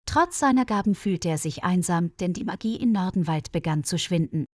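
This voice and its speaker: A woman's voice: lowish voice, animated, normal speed, dry recording, clean studio sound. A woman reading a scripted fairy tale as a storyteller for language learners. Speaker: storyteller, narrator.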